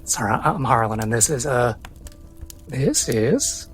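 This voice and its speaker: An awkward, protective gentleman, acted by a man in a tabletop game, polite but guarded. He speaks hesitantly at a medium pitch over faint music. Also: big pitch swings, slow. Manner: hesitant, courteous.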